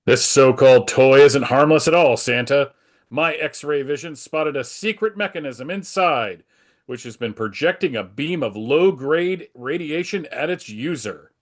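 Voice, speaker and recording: A man's voice: on the high side, animated, unhurried. A man playing an earnest, reassuring superhero who explains things declaratively in a comic-book table read. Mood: concerned, authoritative.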